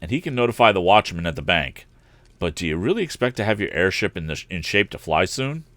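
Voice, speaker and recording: A man's voice: low voice, expressive, fast talker, fairly bright tone, no room sound. A man voicing a conscientious young bank worker, a boy's chum in a storybook, in a straightforward conversational way. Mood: curious. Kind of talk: audiobook character voice.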